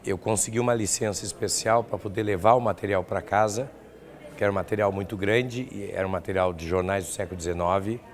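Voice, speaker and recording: A man's voice: lowish voice, steady tone, fairly quick. An older man with a southern Brazilian accent, a professor answering interview questions in an explanatory way with examples. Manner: explanatory, illustrates points with examples. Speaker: academic researcher and professor being interviewed.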